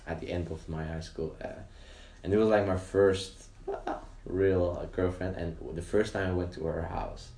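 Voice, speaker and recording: Guy from the netherlands telling stories, lowish voice, laughs a lot, roomy echo. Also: moderate pace. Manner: animated, laughs often, restarts sentences. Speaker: interview guest. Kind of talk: casual storytelling.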